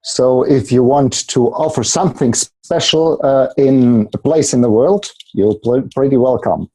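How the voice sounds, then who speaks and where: A man's voice: medium pitch, a little slow, dark tone, husky, poor audio. A man speaking English as a guest, hesitant, often repeating words as he searches for them. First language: German. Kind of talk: casual interview talk.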